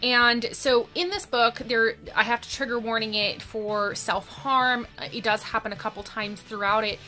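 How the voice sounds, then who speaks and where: A young woman talking casually in a reading vlog, thoughtful and halting, drawing out words for emphasis. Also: fairly high pitch, sharp tone, soft background music. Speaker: book vlogger.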